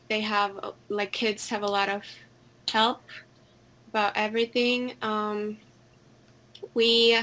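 A woman with a Finnish accent answers questions in a casual, conversational way. Her voice sits fairly high and she takes her time, hesitating between phrases and sounding a bit giggly. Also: a bit bright, poor audio. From Finland. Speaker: interview guest.

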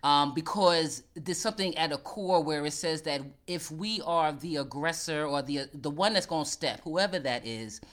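A woman's voice: low voice, normal speed, few pauses. A woman co-hosting a casual advice chat, candid and laughing often, swearing freely and stretching out words for emphasis.

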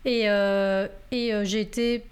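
A woman's voice: mid-range voice, level tone, slow pace, clear, resonant tone. A young adult woman from Belgium, a guest speaking casually and hesitantly, with many fillers and restarts. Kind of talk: casual conversation.

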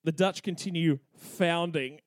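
A man's voice: on the high side, a little slow, dry recording. A young man hosting a podcast, telling history in a cheeky, irreverent way and laughing often. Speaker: podcast host. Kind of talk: comedic history storytelling.

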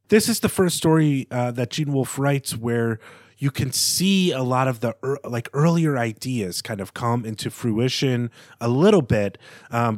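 A man's voice: mid-range voice, no echo. Male podcast co-host, very dry sound. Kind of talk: casual literary podcast discussion.

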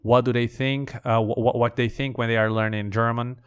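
A man's voice: mid-range voice, level tone, brisk, clean tone. A man from Brazil with a Brazilian Portuguese accent, hosting a language-learning podcast in a conversational, think-aloud way. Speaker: podcast host.

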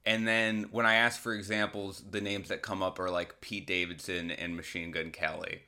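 A man's voice: deep voice, little pitch movement, normal speed, sharp tone. An American man from Massachusetts hosting a solo podcast, delivering a casual, rambling comedic monologue with an irreverent, crude, sardonic manner. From Massachusetts, United States.

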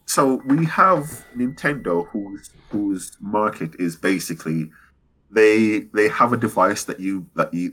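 A man's voice: sits low, fairly bright tone, husky, rough recording. A man co-hosting a casual gaming podcast, rambling and analytical as he works through his points with many restarts. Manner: rambling, self-correcting, analytical. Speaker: podcast co-host.

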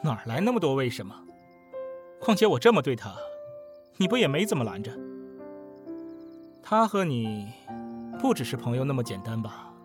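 An actor playing a cool, sardonic young roommate in an audio drama, composed yet pointed and needling. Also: male voice, mid-range voice, very animated, normal speed, long pauses, music in the background, lightly acted. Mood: cool, disdainful. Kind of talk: Chinese audio drama acting.

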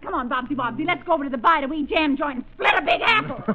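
A woman's voice: high-pitched, animated, rapid-fire, dull audio. Shrieking, man-crazy radio spinster. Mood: gushing. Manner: gushing, shrieky, slangy. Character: loud, man-crazy, gawky spinster daughter, comically homely. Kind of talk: 1930s radio comedy acting. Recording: noisy vintage radio, loud studio audience.

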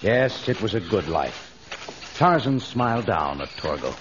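A man's voice: fairly low pitch, very animated, unhurried, noisy background. A man giving scripted dramatic narration in the manner of an old radio adventure serial. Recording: dull vintage radio transcription.

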